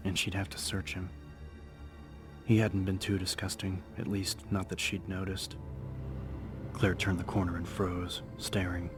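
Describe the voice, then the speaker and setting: Very deep male horror narrator. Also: flat delivery, measured pace, pauses often. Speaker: audiobook narrator. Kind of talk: dramatic scripted horror narration. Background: music.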